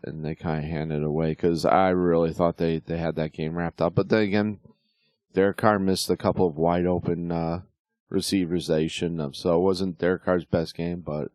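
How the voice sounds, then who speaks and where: A middle-aged man hosting a casual sports talk show, slightly hoarse, rambling with lots of fillers and restarts. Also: low-pitched, steady tone, normal speed, a little mellow, no echo, clean studio sound. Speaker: podcast host.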